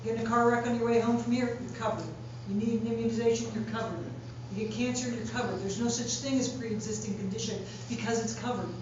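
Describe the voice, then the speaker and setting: A woman candidate makes her case in a steady, plain-spoken way, driving points home by repetition. Her voice sits somewhat high. She is heard from a distance in a very reverberant hall, with dull, muffled sound and steady noise behind. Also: quick pace, hardly any pauses. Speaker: political candidate. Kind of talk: candidate forum speech.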